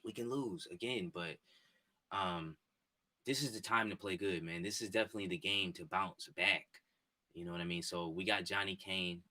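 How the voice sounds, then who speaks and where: A man in his twenties chatting casually with his live-stream viewers from a home setup, excitable and repetitive. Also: low voice, moderate pace. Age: 20s. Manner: excitable, repetitive, talks straight to chat. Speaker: sports streamer. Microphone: desk mic and headphones.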